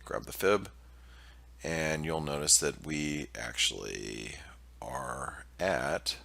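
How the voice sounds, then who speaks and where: A man's voice: a bass voice, takes it slowly, gravelly, sharp tone. A man with a nasal, stuffed-up voice from allergies, giving casual market commentary over a shared screen, full of fillers and restarts. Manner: hesitant, many fillers and restarts. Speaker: market analyst presenting weekly preview.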